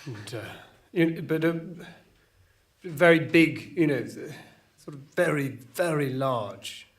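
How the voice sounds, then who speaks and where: Slow, rambling, vague man. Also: on the high side, expressive. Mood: vague, earnest. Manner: meandering, repetitive, vague. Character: rambling, vague, earnest man shopping for a big lamp. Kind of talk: deadpan comic monologue.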